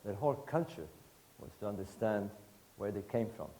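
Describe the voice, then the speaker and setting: A man in his sixties from Egypt, giving a public lecture to an audience in emphatic, rhetorical bursts of short phrases with pauses. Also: fairly low pitch, lively intonation, dark tone. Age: 60s.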